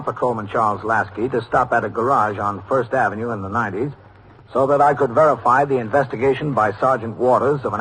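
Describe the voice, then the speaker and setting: An authoritative police captain played by a male actor narrates a vintage radio drama. His delivery is measured, factual and official, in a medium-pitched voice. The old recording carries faint noise. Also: fairly bright tone, lightly acted, poor audio.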